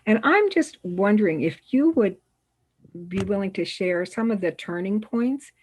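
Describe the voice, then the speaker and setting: A woman's voice: mid-range voice, lively intonation, a little slow, darkish tone, slightly rough. A woman in the audience asking a long, hesitant question full of fillers. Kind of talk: casual conversation.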